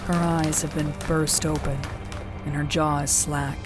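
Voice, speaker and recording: A woman narrating a fan audio drama in the first person, quiet, measured and brooding. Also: sits low, level tone, music in the background. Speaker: narrator and lead character.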